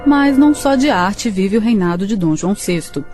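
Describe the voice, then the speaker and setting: A woman's voice: medium pitch, expressive, normal speed, a little mellow. A woman with a Brazilian accent reading scripted historical narration for a radio drama. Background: music.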